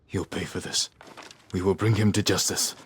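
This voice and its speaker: A man playing a grim, resolute samurai in a video game, speaking in a measured and stern way. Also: low-pitched, steady tone, slightly rough. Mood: grim resolve. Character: grim, resolute samurai avenger sworn to duty. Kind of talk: dramatic video game acting. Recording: noisy outdoor game ambience behind voice.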